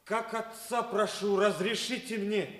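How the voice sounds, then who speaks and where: An actor playing a fervent, devoted Soviet soldier who cries out with passion in a 1950s radio drama. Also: male voice, high voice, steady tone, unhurried, fairly bright tone, echoey room. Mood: pleading.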